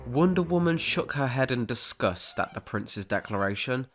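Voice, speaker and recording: A man's voice: medium pitch, animated, normal speed. A man narrating a scripted dramatic story as its storyteller. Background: background music.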